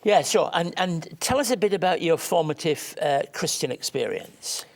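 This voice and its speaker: Gravelly male host, slightly high. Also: animated, moderate pace. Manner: hesitant, with frequent backchannel murmurs. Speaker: talk show host. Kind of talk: interviewing a guest.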